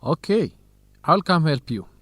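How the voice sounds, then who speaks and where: A man's voice: fairly high pitch, big pitch swings, unhurried, a bit bright, no room sound, full character voice. A man playing a cautious, good-natured young graduate student in an educational radio drama. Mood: cautious, worried.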